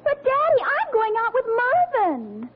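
On a thin old radio recording, a sweet, fickle teenage girl. Her voice is extremely high and breezy, in 1940s sitcom style. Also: wildly swooping pitch, normal speed, highs cut off, exaggerated voice.

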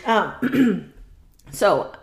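A woman co-hosting a podcast in casual chat, agreeable and quick with short affirmations, with a few "um"s as she talks. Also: mid-range voice, very animated. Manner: agreeable, frequent short affirmations, some filler "um"s. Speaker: podcast co-host.

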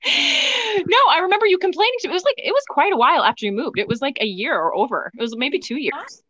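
A woman's voice: high voice, big pitch swings, fast talker, a bit bright, no room sound, dull audio. A woman co-hosting a casual podcast, teasing and interrupting with frequent exclamations. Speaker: podcast co-host, wedding singer. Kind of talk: casual chat.

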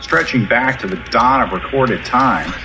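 A man acting a deadpan medical examiner in a radio drama. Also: medium pitch, a little slow, over music, low-quality recording.

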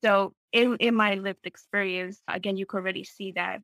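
A woman speaks at a middling pitch. She shares personal stories in a conversational, thoughtful way, open and inclined to seek agreement as she goes. The sound is dry, with hardly any room in it. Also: fairly even intonation, moderate pace, fairly bright tone, no room sound. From Peru, small town. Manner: reflective, open, often seeks agreement with tags.

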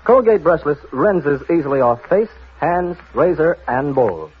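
A man's voice: a little high, animated, unhurried, husky. A man reading a 1940s radio advertisement as a commercial announcer, with an emphatic, repetitive salesman's delivery. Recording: muffled, thin vintage radio broadcast.

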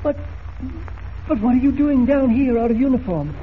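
An actor playing an agitated, exclamatory middle-aged professor in a 1940s radio serial. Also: male voice, very high-pitched, expressive, normal speed. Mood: astonished. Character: excitable, astonished scholarly male professor and inventor. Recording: noisy, tinny old radio transcription.

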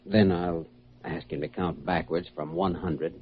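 An actor playing a calm, authoritative American doctor in a classic radio drama, speaking in a measured, reassuring way. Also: male voice, low voice, slight background noise. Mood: calm, explanatory. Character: calm, authoritative male doctor guiding a hypnotized patient. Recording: dull, lo-fi old radio recording.

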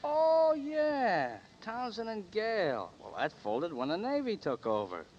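An actor playing a gruff male elevator operator who talks bluntly and in slang, in a 1940s radio play. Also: high-pitched, sing-song, a little slow, a little mellow, lo-fi sound, slight background noise.

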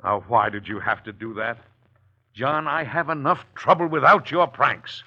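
An actor playing a dignified, middle-aged president and father in old-time radio drama, formal, measured and easily exasperated. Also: medium pitch, expressive, dull audio, natural voice. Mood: stern, urgent. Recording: old radio recording, faint hiss.

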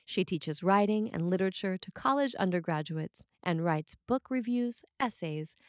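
A woman priest reading a scripted sermon in measured, deliberate phrases. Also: lowish voice, animated, no room sound, clean studio sound.

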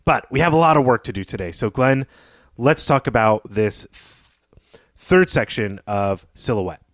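A man with a medium-pitched voice and a close, dry sound co-hosts a podcast. He talks conversationally, in a thoughtful and academic way, like someone analysing literature. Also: lively intonation, unhurried, no echo. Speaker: podcast co-host.

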